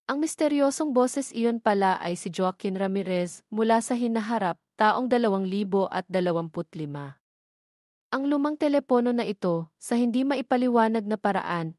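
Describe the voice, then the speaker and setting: A woman with a Filipino accent reading a romance story aloud as a steady, scripted narration. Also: mid-range voice, dry recording, studio quality. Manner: steady storytelling read, voicing all characters lightly.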